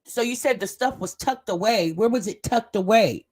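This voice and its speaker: A woman's voice: medium pitch, normal speed, darkish tone, husky. A woman hosting a casual reaction video, talking straight to her viewers and stretching words out for emphasis while she reads the live chat. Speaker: host of a reaction commentary channel.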